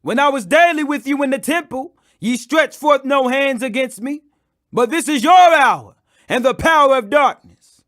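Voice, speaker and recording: Man plays grave male religious teacher: high voice, commanding, solemn, declamatory audiobook delivery. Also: normal speed, fairly bright tone.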